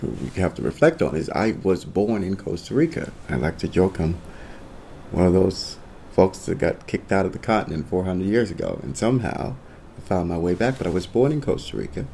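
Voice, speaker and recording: A man who grew up in Italy, a podcast guest and founder, telling his story in a thoughtful, rambling way that sometimes sinks to a soft near-whisper. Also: sits low, animated, brisk, gravelly, a little hiss or hum. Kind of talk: reflective storytelling.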